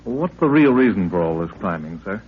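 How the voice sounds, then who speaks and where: A man's voice: deep voice, sing-song, fairly quick. A man playing a curious, polite young expedition assistant with a wry questioning manner in a 1930s radio adventure. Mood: curious, respectful. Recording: tinny, noisy 1930s radio recording.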